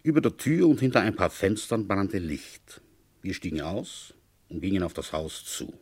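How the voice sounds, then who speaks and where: An actor playing a seasoned middle-aged village police commissioner who narrates and questions with deliberate, dramatic phrasing in a radio drama. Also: male voice, low voice, big pitch swings, a little mellow, breathy edge, exaggerated voice. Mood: brooding narration. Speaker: detective narrator.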